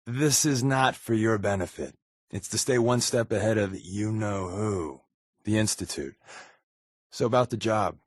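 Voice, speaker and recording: A man playing a wry, sarcastic spy companion in a video game, with a deadpan, flippant delivery. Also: lowish voice, measured pace, no echo, clean studio sound.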